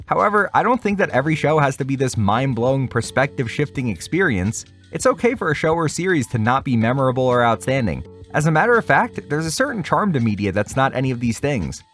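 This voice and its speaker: A man's voice: mid-range voice, very animated, quick pace, few pauses, a bit bright. A man delivering a scripted review as an online video host, wry and opinionated, with casual slangy asides. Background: music.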